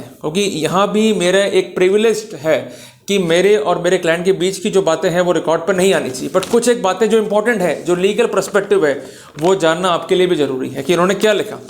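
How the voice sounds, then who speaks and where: A man giving a legal lecture, emphatic and exhorting, with English legal terms mixed in. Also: high voice.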